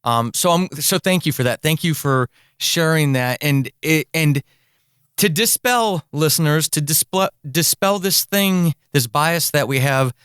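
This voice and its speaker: Guy podcast host, voice a bit high, earnest and encouraging, really dry close sound. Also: measured pace, fairly bright tone, clean tone, no echo. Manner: earnest, encouraging, emphatic with repetition. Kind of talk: conversational interview with a guest.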